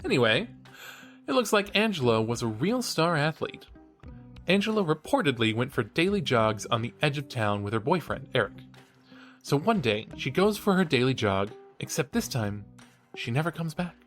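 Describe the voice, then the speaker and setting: An actor playing an eager young college true-crime podcaster in a horror audio drama, a teasing, suspenseful storyteller. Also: male voice, mid-range voice, very animated, normal speed, fairly bright tone, faint music behind. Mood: storytelling. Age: young adult.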